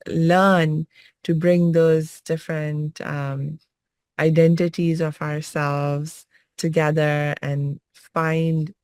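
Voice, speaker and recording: Slow, low-voiced woman host. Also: no room sound. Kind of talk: interview hosting.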